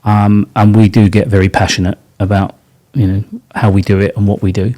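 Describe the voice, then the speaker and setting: A man's voice: lowish voice, flat delivery, a little fast, mellow. A man speaking as a panel guest from industry, earnest, with frequent ums. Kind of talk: casual panel discussion.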